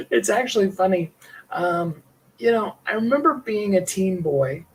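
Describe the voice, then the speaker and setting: A middle-aged man with a higher tenor voice, hosting a livestream and talking in a rambling, heartfelt way with small laughs. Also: lively intonation, a little slow, husky, low-quality recording. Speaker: livestream host and singer. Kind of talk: reflective personal livestream talk.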